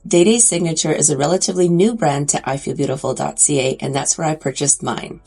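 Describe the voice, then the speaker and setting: Female beauty vlogger, stuffy nose, low husky tone, chatty makeup review. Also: fast talker, a little mellow, faint music behind. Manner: chatty, enthusiastic about swatches. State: congested.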